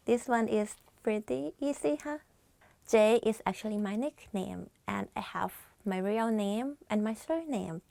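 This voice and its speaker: A woman's voice: medium pitch, gravelly, darkish tone, no room sound. A young woman from Thailand with a Thai accent, teaching a casual language lesson and chatting about her own life between phrases. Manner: chatty, explains with personal asides.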